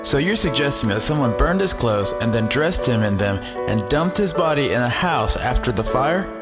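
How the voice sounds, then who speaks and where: A disbelieving morgue worker from a horror audio drama, played by a man. His mid-pitched voice swings with incredulity, set in long reverb over very loud music. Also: moderate pace, lightly acted. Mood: incredulous. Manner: animated, disbelieving. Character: Eli, incredulous male morgue colleague.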